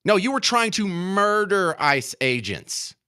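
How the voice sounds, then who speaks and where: Indignant American male talk radio host, animated, sharp. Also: high-pitched, a little slow, smooth. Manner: emphatic, indignant, repeats phrases for emphasis. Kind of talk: opinionated political talk radio monologue. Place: radio studio.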